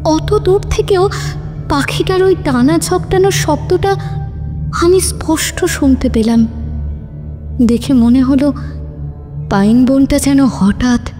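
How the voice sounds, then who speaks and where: A woman's voice: high voice, a little mellow. A woman playing a frightened wife who narrates her own ghostly encounter in a theatrical, suspenseful horror cartoon style. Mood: hushed wonder. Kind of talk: dramatic first-person horror narration. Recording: long reverb, music behind.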